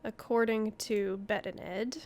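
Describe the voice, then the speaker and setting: A woman's voice: medium pitch, fairly even intonation, measured pace, darkish tone, slight background noise. A woman hosting a gaming video with casual playthrough commentary into a headset.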